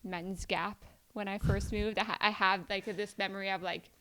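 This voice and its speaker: A woman's voice: medium pitch, flat delivery, normal speed, pushed and bright. A woman who grew up speaking Farsi, a podcast guest telling stories in casual chat, with many "like" fillers. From Iran. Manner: chatty storytelling, many "like" fillers.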